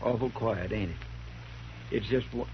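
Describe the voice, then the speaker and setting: An actor playing a humble, loyal frontier deputy with a folksy drawl in a 1950s radio drama. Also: male voice, fairly low pitch, normal speed, lightly acted. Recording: dull old radio recording with faint hiss.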